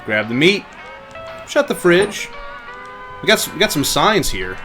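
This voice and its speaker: A man's voice: fairly high pitch, big pitch swings, a little slow. A man giving casual, chatty livestream commentary as he plays through a game, easygoing and given to swearing. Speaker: game streamer. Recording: faint game music behind. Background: game music.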